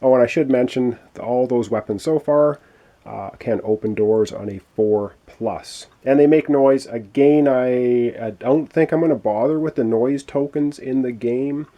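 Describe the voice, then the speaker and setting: A man's voice: medium pitch, a little slow, muffled recording. A man explaining a board game playthrough in a chatty, explanatory way, with many fillers, while losing his voice. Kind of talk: casual tabletop game walkthrough.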